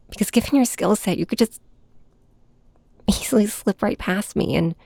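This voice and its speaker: A woman playing a heartbroken young superhero in an intimate ASMR audio drama, confiding in a villain with halting, raw emotion in a breathy, whispery voice. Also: medium pitch, dark tone, dry recording. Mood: weary, bitter. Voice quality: breathy, often dropping to a whisper. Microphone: close microphone, dry.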